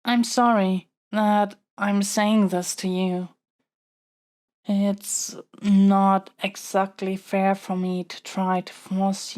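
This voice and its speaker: A woman's voice: mid-range voice, darkish tone, lightly acted. A woman playing an earnest, flustered young princess in an intimate audio roleplay, stammering and hesitating as she pleads. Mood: pleading. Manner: halting, hesitant, stammering delivery. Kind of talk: audio roleplay acting, intimate monologue to the listener.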